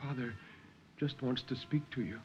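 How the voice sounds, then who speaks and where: A man voicing a gentle, worried grown daughter speaking softly and coaxingly at a deathbed in a story reading. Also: mid-range voice, normal speed, lo-fi sound, faint music behind, pitched above the performer's own voice, close to an everyday voice. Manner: soft, anxious, coaxing.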